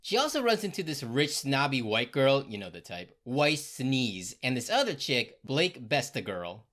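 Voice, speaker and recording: Casting: female comedy recap narrator, deep for a woman, with big expressive pitch movement and irreverent, slangy sarcasm. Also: moderate pace.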